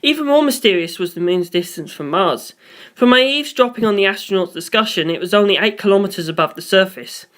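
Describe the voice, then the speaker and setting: A man's voice: high-pitched, animated, fast talker, a bit bright. A man reading scripted first-person story narration.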